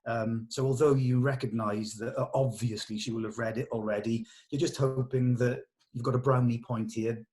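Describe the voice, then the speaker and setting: A man leading a script table read, explaining notes to actors and often pausing mid-thought. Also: mid-range voice, fairly even intonation, a little mellow.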